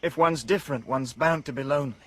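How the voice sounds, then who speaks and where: A young man raised apart, lonely and earnest, wonder-struck by a world he has only dreamed of, as an actor plays him. His voice sits at an ordinary pitch and he talks with quiet, dreamy intensity. This is classic radio play acting. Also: quick pace, no room sound, close to an everyday voice. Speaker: the Savage. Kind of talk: BBC radio drama acting.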